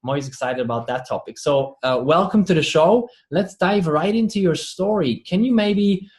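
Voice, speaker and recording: A man's voice: a little high, moderate pace, darkish tone. A male podcast host introduces his guest and asks questions with eager enthusiasm. His questions wander, and he pads them with fillers as he goes. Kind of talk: interview hosting.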